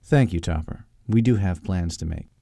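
A man voicing a reserved, polite schemer in an audiobook, formal and measured, with a strained courtesy. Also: deep voice, vocal fry, warm tone, no room sound, studio quality.

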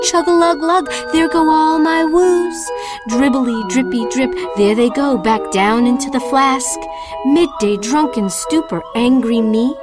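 An actress playing a tormented, self-doubting woman artist in a theatrical, poetic spoken-word monologue. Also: sits high. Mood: mocking, agitated. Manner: dramatic, poetic, deliberate.

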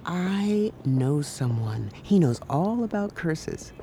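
An actress playing a calm, intuitive investigator in a radio drama, with a knowing, dryly matter-of-fact manner. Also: female voice, sits low, takes it slowly, slight background noise, exaggerated voice.

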